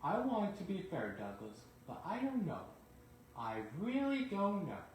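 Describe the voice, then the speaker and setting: A man voicing a stern, formal railway boss in a children's story, speaking in a deliberate, scolding way. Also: high voice, huge pitch swings, heavy reverb, recorded from a distance, slight background noise, voice pushed up, heavily acted, rough recording. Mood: undecided. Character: stern, annoyed male railway boss, fair but indecisive.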